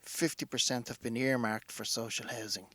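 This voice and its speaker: An Irish actor playing a matter-of-fact radio newsreader delivering a scripted bulletin. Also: male voice, medium pitch, steady tone, moderate pace, bright, forceful tone, no room sound. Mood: formal, neutral.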